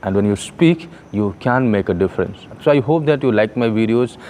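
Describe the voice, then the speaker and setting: A man's voice: fairly low pitch, animated, fairly quick, darkish tone, a little hiss or hum. A man giving an earnest spoken lesson to camera, with frequent fillers and restarts. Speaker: English teacher.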